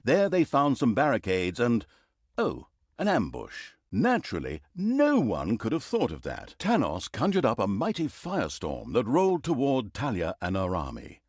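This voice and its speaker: An actor playing a sarcastic, pompous storyteller, narrating a fantasy video game with dry, mock-dramatic humor. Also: male voice, mid-range voice, huge pitch swings, moderate pace, a little mellow, no room sound. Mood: wry, sardonic. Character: sarcastic, pompous male storyteller of evil deeds. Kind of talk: theatrical scripted fantasy narration.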